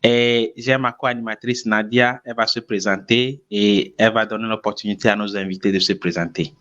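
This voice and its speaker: A man from Ghana, a native English speaker, hosting a podcast in slow, hesitant chat full of fillers over a video call. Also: fairly low pitch, steady tone, a bit bright, muffled recording. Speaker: podcast host. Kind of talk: casual chat.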